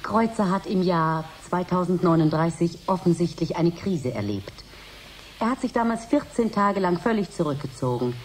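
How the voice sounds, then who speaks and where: Actress as lowish, animated female scientist. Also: normal speed, natural voice. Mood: factual. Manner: precise, factual, formal. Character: matter-of-fact female scientist, an expert guest. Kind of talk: radio drama, expert interview. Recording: faint background noise.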